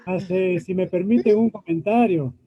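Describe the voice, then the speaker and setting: Measured male speaker, high voice, dull lo-fi call audio. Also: crowd noise. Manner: measured, explains with examples. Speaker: conference participant. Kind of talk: casual comment.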